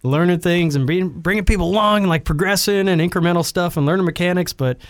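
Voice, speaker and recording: Upbeat higher-voiced man on a podcast. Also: fast, no echo, studio quality. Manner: upbeat, laughs often. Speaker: podcast co-host. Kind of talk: casual gaming chat and announcements.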